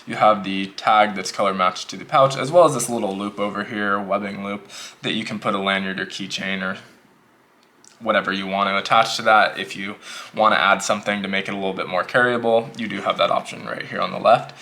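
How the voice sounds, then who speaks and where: A man casually reviewing a notebook pouch in a relaxed, rambling way, a little sick and slightly hoarse. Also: on the low side, fairly quick. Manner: relaxed, rambling, repeats himself. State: losing his voice, a bit sick. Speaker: EDC gear reviewer.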